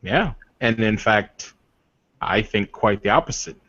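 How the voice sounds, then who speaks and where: A man's voice: lively intonation, fairly bright tone, full character voice. A man playing a proud, commanding werewolf warrior leader in a tabletop game, speaking earnestly and formally. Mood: solemn, resolute. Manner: solemn, deliberate, formal phrasing. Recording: dull, roomy low-fidelity voice recording.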